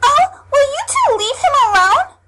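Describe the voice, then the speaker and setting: A woman's voice: extremely high pitch, sing-song, unhurried, pushed and bright, heavily acted. A woman playing a kind but easily indignant teenage girl in an amateur anime audio drama, exasperated yet polite. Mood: exasperated, apologetic.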